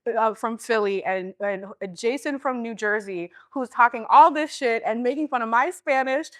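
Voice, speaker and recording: A woman in her thirties from the Southeast US, chatting in an interview and acting out quotes with big jumps in pitch. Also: normal speed, dry recording, studio quality. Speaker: guest actor. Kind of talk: casual interview chat.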